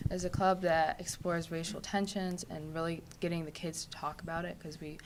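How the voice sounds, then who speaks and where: A woman's voice: lowish voice, level tone, moderate pace, background noise. A teenage girl, a student guest, earnestly explaining her school's new club. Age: teens. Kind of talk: casual chat.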